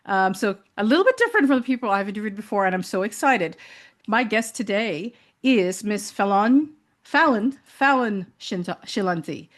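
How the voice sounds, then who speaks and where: A woman's voice: mid-range voice, animated, normal speed. A woman hosting a talk show, setting up her guest in a chatty, unscripted way. She often trails off with fillers and restarts before she picks up her thought again. Her voice sits in a medium range. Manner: warm-hearted, chatty, with many fillers. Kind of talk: interview hosting.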